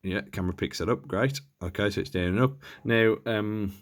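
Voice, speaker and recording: Low-voiced man, hesitant and casual. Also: fairly quick. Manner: hesitant, tentative, thinking aloud. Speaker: presenter of a radio equipment demonstration. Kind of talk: casual unscripted hands-on demonstration.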